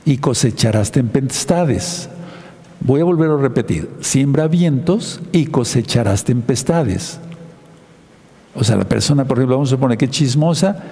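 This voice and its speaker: A man preaching a sermon, teaching slowly and repeating his key phrases for emphasis. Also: mid-range voice, warm tone, in a large hall.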